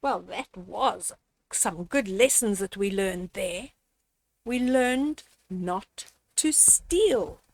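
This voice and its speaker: An older woman reading a picture book aloud to young children and explaining its lessons in a gently instructive way, speaking to them directly. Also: medium pitch, animated, drawn-out words. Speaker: storyteller reading children's books. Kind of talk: read-aloud picture book with moral commentary.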